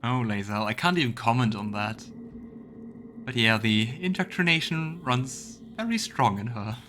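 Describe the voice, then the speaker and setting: A man's voice: medium pitch, animated, moderate pace, fairly bright tone, slight background noise. A man hosting a let's play, commenting casually and reading dialogue choices aloud.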